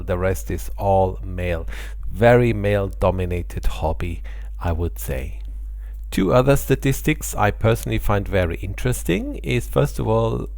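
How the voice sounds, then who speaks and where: Low, gravelly man with Austrian German accent. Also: a little mellow, dry recording. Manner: friendly, explanatory, occasional small laughs. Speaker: airline pilot and channel host. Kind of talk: casual talk to camera about channel statistics.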